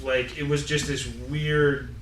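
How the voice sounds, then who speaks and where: A man's voice: fairly high pitch, little pitch movement, takes it slowly, a bit bright, roomy sound, distant mic, a little hiss or hum, low-quality recording. Man telling stories from across the room. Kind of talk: casual chat.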